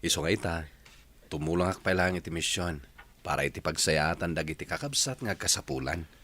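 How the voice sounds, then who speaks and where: A man's voice: low-pitched, brisk, sharp tone. A man playing a dutiful, agreeable son and husband in an Ilocano radio drama. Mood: earnest.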